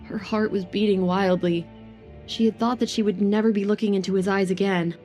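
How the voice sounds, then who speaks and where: A woman's voice: mid-range voice, steady tone, a little fast, darkish tone, faint music behind. A woman narrating a scripted romance audio drama.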